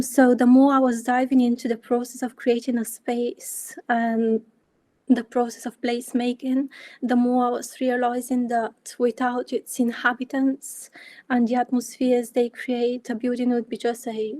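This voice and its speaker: A young woman in her twenties from Bulgaria presenting her work in an online talk, measured, with frequent ums. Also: on the high side, mellow, no echo. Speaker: architecture student speaker.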